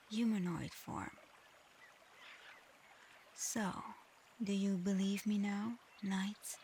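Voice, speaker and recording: A woman playing a gentle, remorseful shapeshifting dragon in human form for an ASMR roleplay, speaking softly and haltingly, often close to a whisper. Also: medium pitch, slight background noise. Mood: teasing, knowing. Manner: soft, breathy, often near-whispered, halting.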